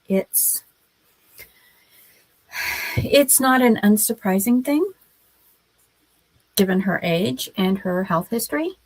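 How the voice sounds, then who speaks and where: Slow-talking woman with a scratchy voice. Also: medium pitch, darkish tone, no echo. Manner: slow, halting, many ums. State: scratchy voice from a cold. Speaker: writing livestream host. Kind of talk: casual livestream chat.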